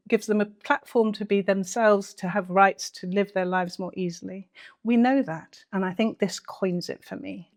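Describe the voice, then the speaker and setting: Female commentator, mid-pitched, conversational and emphatic, earnest delivery with rhetorical touches. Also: darkish tone. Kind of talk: conversational reaction commentary to camera.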